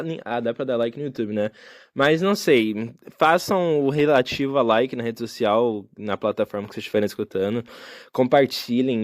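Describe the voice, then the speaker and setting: A man's voice: mid-range voice, a little mellow, no echo, studio quality. A young man hosting a solo podcast, talking in a casual, reflective and rambling way with many fillers. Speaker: podcast host. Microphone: phone.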